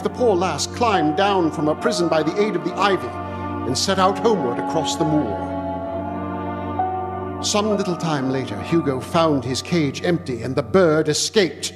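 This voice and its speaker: An actor playing an earnest young country doctor who reads an old legend aloud with solemn, dramatic storytelling in a live radio play. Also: male voice, high-pitched. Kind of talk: live stage radio-play acting, reading a tale aloud. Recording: live stage recording with music underscore.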